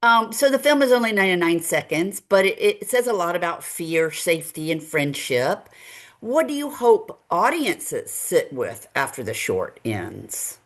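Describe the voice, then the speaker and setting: Low-voiced woman interviewer with lively intonation. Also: a little slow. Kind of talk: interview questions.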